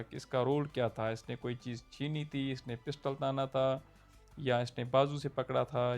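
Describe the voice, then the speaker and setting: A man's voice: mid-range voice, creaky. A young man with a Pakistani Urdu accent explains a point of law in a casual, conversational lesson, often checking in with his listeners. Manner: conversational, checks in with "theek hai". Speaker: law lecturer.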